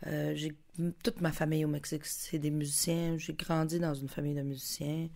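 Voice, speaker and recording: A woman in her forties, born in Mexico, with a Lac-Saint-Jean Quebec French accent, telling stories in an animated, casual way with frequent laughs as a podcast guest. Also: low voice, brisk, creaky. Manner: animated, laughs often between phrases, many fillers. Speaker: guest musician. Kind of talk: casual storytelling chat.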